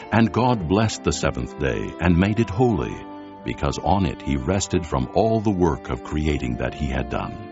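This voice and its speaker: A man with a gravelly bass voice giving solemn, measured narration of dramatized scripture. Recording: dull lo-fi audio with music behind.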